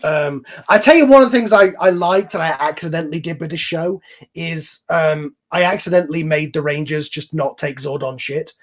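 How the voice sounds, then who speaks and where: A man's voice: a little high, normal speed. A man hosting a casual online group chat, rambling informally and swearing now and then. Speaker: host and series creator. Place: online voice call.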